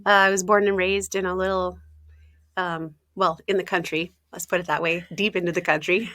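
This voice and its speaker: A woman, a health coach talking casually in an interview, often laughing, clearing her throat and using fillers. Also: medium pitch, fairly bright tone, no room sound, clean studio sound. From Ontario, Canada.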